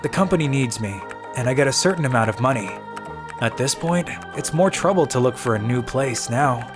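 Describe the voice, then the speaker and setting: A man's voice: medium pitch, lively intonation, brisk, over music, natural voice. A man playing a weary, cynical young office worker who grumbles and narrates his own manga story in a dry, exasperated way. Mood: resigned, grumbling. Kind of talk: dubbed manga story narration in first person.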